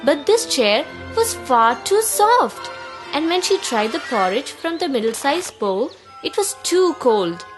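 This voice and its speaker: A woman narrating a scripted children's fairy tale as a storyteller, in a deliberate, expressive way. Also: high voice, music in the background.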